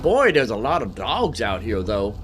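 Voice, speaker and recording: Rambling man commentating over loud game music. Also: fairly low pitch, huge pitch swings, moderate pace. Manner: rambling, repeats himself, thinks aloud. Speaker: gaming YouTuber. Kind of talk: casual gameplay commentary.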